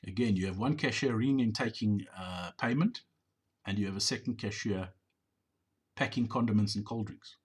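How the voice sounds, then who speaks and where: A middle-aged business owner giving a measured, explanatory voice-over on drive-thru footage, sometimes repeating words. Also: male voice, fairly low pitch, slightly rough.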